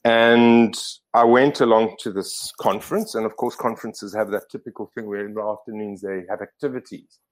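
Low-voiced man from Zimbabwe, rambling. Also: fairly even intonation, normal speed, low-quality recording. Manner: rambling, self-correcting, lots of fillers. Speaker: podcast guest. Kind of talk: casual storytelling interview.

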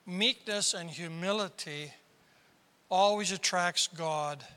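A man from South Dakota preaching a sermon as pastor, with a squeaky tenor voice and emphatic delivery that climbs into sudden loud bursts. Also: slow pace. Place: large church hall. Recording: reverberant hall.

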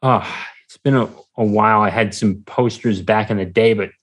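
A man speaking as a podcast guest in a casual, halting, mild-mannered conversational way. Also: lowish voice, steady tone. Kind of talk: casual interview chat.

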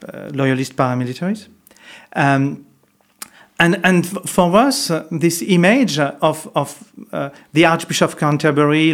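A man from France with a French accent, a monk telling stories in an interview, hesitant with many fillers and restarts. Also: fairly high pitch. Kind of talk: reflective interview storytelling.

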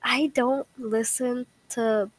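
A young American woman in a casual chat, slangy and opinionated as she tells stories in an animated way. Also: a little high, slow pace. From United States. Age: young adult. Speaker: participant in a group call.

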